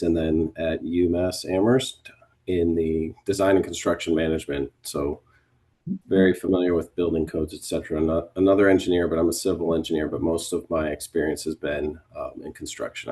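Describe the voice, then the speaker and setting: Man, very deep husky voice, matter-of-fact, video call. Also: mellow. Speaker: board applicant. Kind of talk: self-introduction at a meeting.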